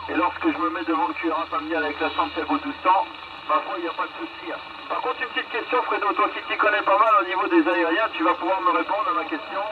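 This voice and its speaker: A man's voice: high voice, steady tone, fast talker. A man from France chatting casually over a radio from a moving car, repeating phrases as he reports. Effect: radio transmission. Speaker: mobile amateur radio operator. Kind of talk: casual CB radio contact chat. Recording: dull, very noisy CB radio reception. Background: loud radio and vehicle noise.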